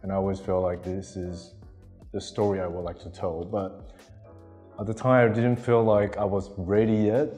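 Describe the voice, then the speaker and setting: Man in his 30s from Taiwan, very low voice, halting interview answers on a lapel mic, a long reverb tail and faint music behind. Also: steady tone, warm tone. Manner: hesitant, many ums and restarts. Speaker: interviewee artist.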